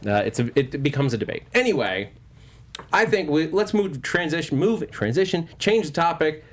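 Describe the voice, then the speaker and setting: A man hosting a movie news talk show, discussing things casually with a panel in an animated, opinionated, joking way. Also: a little high, moderate pace.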